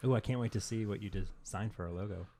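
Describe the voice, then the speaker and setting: A man's voice: on the low side, quick pace, mellow, slight background noise. A man co-hosting a casual podcast, dropping in joking, teasing interjections. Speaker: podcast co-host.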